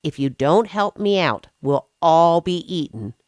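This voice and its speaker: A sensible young boy pig from a bedtime storybook, read by a woman. He sounds earnest and calm, speaking at a measured pace. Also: sits low, no echo. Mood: urgent. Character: clever, kind, sensible young male pig.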